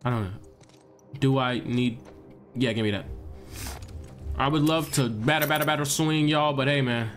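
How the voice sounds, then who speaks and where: A young man with a stuffed-up nose giving animated, joking gameplay commentary to his viewers. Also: mid-range voice, normal speed, long pauses. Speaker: gaming streamer.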